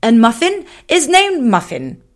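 A woman voicing a tiny, excitable female lion creature in a storybook, squealing and bouncy. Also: sits high, unhurried, voice pushed up, heavily acted. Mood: excited.

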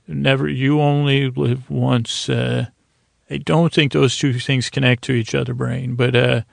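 A man with a creaky, gravelly voice hosting a sleep podcast, giving a halting, meandering, soothing bedtime monologue full of digressions. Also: mid-range voice, level tone, dry recording, studio quality. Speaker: podcast host. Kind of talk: rambling bedtime monologue for falling asleep.

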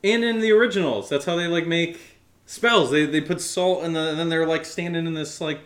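A man's voice: on the high side, fairly quick, a bit bright, reverberant. A man co-hosting a show with casual, joking banter, thinking aloud in a rambling, hesitant way. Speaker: show co-host.

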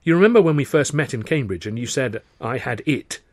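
A man's voice: mid-range voice, animated, fairly quick, no echo, clean studio sound, voice pushed up. A man voicing an earnest young male comedian who is nervous and eager, protesting in a flustered way.

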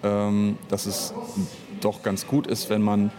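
A man with a fairly low voice talks as an interview guest about his creative work as author and director. He speaks off the cuff, reflectively, often hesitating to find the right word. There is noticeable noise behind him. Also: completely flat delivery, slow. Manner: hesitant, reflective, searching for words. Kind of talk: unscripted interview conversation.

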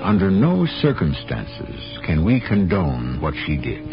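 A solemn, moralizing radio storyteller with a very deep, sweeping voice. Music plays clearly behind his dramatic narration. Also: huge pitch swings, unhurried, heavily acted. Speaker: host and narrator. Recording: dull old radio broadcast recording.

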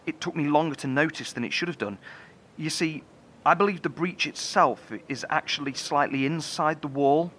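An actor playing a thoughtful, curious male researcher in a horror audio drama, speaking in a measured, precise, brooding way. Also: medium pitch, a bit bright, slight background noise, natural voice.

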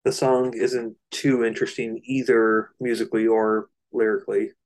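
A man with a fairly low voice talks through an album as a podcast co-host. His delivery is casual and explanatory, with some hesitant searching for the right phrase. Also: little pitch movement, moderate pace, a little mellow, low-quality recording.

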